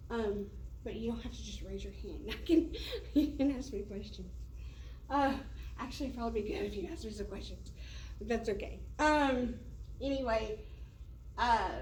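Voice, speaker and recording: A woman's voice: medium pitch, darkish tone, slight background noise. Chatty teacher woman, roomy and distant. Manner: chatty, loose, many fillers and restarts. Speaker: teacher presenting to colleagues. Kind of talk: casual professional development talk. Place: classroom or meeting room. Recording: roomy echo, heard from a distance.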